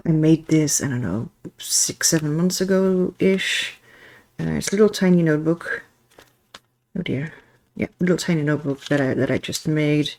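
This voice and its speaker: A woman's voice: low-pitched, moderate pace, a little mellow. A woman from Belgium, speaking English as a second language, chatting casually at home as she shows her stationery and sometimes searches for words. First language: not English. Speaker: stationery vlogger. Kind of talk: casual show-and-tell. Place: home, with cat.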